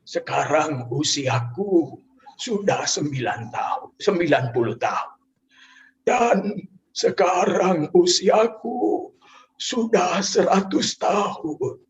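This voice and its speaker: A man voicing a frail very old person of a hundred, speaking slow and drawn out, on dull lo-fi audio. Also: high-pitched, expressive, a little hiss or hum, voice pushed up, full character voice.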